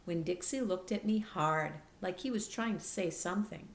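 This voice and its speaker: A woman reading a children's chapter book aloud expressively, with careful, deliberate storytelling delivery. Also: fairly low pitch, gravelly, reverberant.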